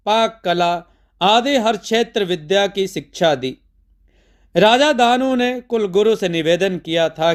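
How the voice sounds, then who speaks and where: A man narrating a scripted mythological tale as a storyteller, in measured, deliberate phrases with pauses. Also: high-pitched, clean tone.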